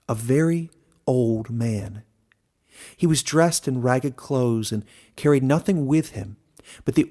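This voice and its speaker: A man reading scripted fantasy narration as a storyteller, deliberate and expressive. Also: medium pitch.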